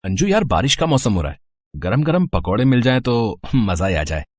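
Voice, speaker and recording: A man's voice: mid-range voice, big pitch swings, moderate pace, a little mellow, no room sound. A man playing a tired husband and office worker in a cartoon story, easygoing and mildly complaining. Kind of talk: Hindi cartoon moral story acting.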